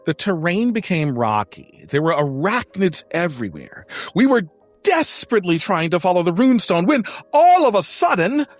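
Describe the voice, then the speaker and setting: A man's voice: high-pitched, big pitch swings, moderate pace. A man playing a theatrical young adventurer in an audio drama, narrating a quest in florid, dramatic style. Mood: excited narration. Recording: music behind the voice.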